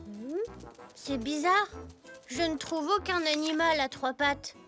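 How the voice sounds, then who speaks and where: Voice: high-pitched, slow pace, pushed and bright, faint music behind, heavily acted. An actor voicing a curious, cheerful little zebra boy in a children's cartoon, speaking in an eager, wondering way. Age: child character. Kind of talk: French children's cartoon dialogue.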